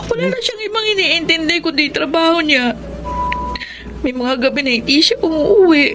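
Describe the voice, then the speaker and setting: A woman's voice: very high-pitched, lively intonation, normal speed, music in the background. A woman playing a lonely, evasive young wife in an emotive Filipino radio drama. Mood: tearful, wounded.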